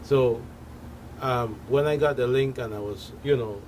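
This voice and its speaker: A man talking casually as a guest in a discussion, halting and often tagging phrases with filler. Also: medium pitch, darkish tone, low-quality recording. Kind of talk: casual conversational talk. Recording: noticeable background noise, room ambience.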